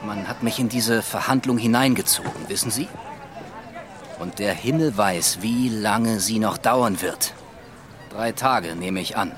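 An actor playing a proud, exasperated sea captain in a radio play, speaking with impatience and contempt. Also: male voice, on the low side, a little slow, natural voice. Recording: busy street crowd behind. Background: outdoor street bustle.

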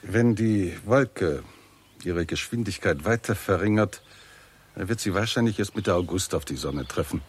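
An actor playing a self-assured, matter-of-fact scientist in a 1960s German radio play, reasoning in a conversational tone. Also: male voice, low voice, a little slow, husky. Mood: thoughtful.

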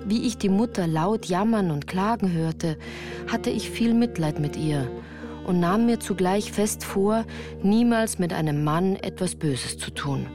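A woman reading scripted first-person narration for a radio play. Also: lowish voice. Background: music.

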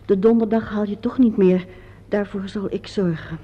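An actress in a Dutch radio play as a cool, sophisticated woman with a husky voice. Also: medium pitch, steady tone, normal speed, close to an everyday voice. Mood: uneasy. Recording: dull lo-fi radio drama recording.

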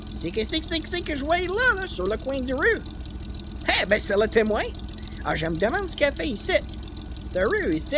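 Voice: medium pitch, background noise, close to an everyday voice. An actor voicing a friendly, slangy repairman who mixes English into Quebec French, in an old-time radio comedy. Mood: musing, concerned. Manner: colloquial, franglais, slangy.

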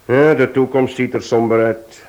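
Man playing a calm firm police chief in a radio drama, formal and polite, medium voice. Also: medium pitch, lo-fi sound, natural voice. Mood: measured, planning. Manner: measured, formal, courteous. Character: composed, courteous, firm male police chief inspector.

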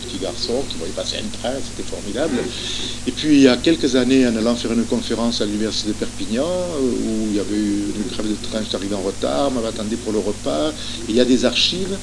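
Older Spanish-born man recalling memories. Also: fairly low pitch. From Spain. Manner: hesitant, many fillers and restarts, storytelling. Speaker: guest speaker, former academic and author. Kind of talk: reminiscing talk. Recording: noticeable background noise and hum.

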